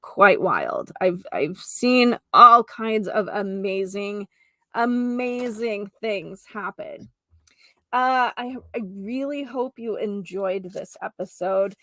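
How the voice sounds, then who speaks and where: A woman's voice: medium pitch, animated, takes it slowly, pushed and bright, no echo. A woman hosting a solo healing podcast, speaking to listeners in an earnest, effusive manner and stressing key words. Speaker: host of a holistic healing podcast; geomancer and energy healer.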